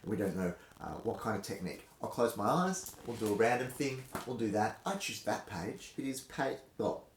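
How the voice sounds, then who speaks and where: A man hosting a dramatic reading, speaking theatrically and emphatically with dramatic pauses. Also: fairly low pitch, expressive, slight background noise. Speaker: host reading aloud from a historical treatise. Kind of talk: casual intro, then dramatic reading of an old fencing manual. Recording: roomy, echoing indoor sound.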